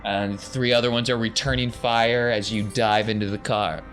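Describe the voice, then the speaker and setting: A man running a tabletop roleplaying game as game master, narrating off the cuff in a conversational, excitable way. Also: on the low side, normal speed, faint music behind. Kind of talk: improvised game narration, casual banter.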